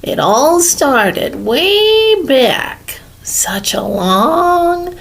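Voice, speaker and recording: Woman as a slow, whispery old storybook hermit. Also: sits high, very animated, vocal fry, voice pushed up, heavily acted. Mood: slow, secretive. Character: secretive old Once-ler, whispering slow tale from his hiding place.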